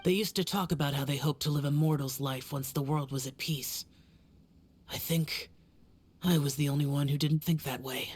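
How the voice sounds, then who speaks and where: A woman voicing a solemn young male warrior guardian in a video game, speaking in a grave, measured, weary way. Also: sits low, fairly even intonation, a little mellow, slight background noise, close to an everyday voice.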